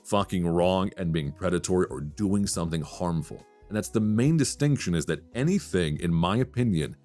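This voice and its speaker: A man hosting a podcast in a late-night radio chat style, speaking in a measured, articulate and thoughtful way. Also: deep voice, a little mellow. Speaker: podcast host; voice actor.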